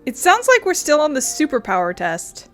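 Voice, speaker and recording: A woman's voice: high voice, wildly swooping pitch, moderate pace, a bit bright. A young woman giving casual, informal let's play commentary with a wry manner. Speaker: gaming video creator. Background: game music.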